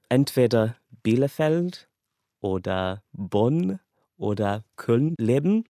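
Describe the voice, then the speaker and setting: A man's voice: fairly low pitch, darkish tone, no room sound, studio quality. A young man from Kenya, a language student whose first languages are English and Swahili, giving a casual, earnest personal account and laughing lightly between thoughts.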